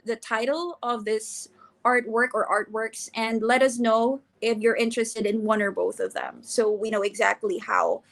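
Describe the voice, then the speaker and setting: A young woman, co-hosting a live art sale and reading artist bios in a chatty way, with frequent restarts and quick laughs. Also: fairly high pitch, steady tone, creaky. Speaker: livestream co-host.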